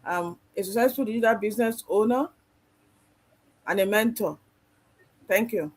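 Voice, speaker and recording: A woman's voice: mid-range voice, normal speed. A woman with a Nigerian accent introducing herself to an online audience as a panel member. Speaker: panel member, chef and mentor.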